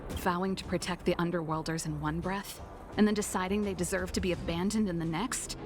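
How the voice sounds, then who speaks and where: A woman's voice: lowish voice, fairly even intonation, brisk, lightly acted. Defiant young woman warrior, acted by a woman over music. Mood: bitter. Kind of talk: video game voice acting. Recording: game music behind the voice.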